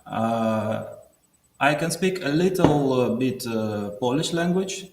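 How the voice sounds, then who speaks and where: A man in his thirties from Russia with a Russian accent, calling in and speaking slowly, stopping often to find his words. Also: medium pitch, lively intonation, a little mellow. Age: 30s. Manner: slow, hesitant, many pauses to find words. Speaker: caller. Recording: echoey room with faint background noise.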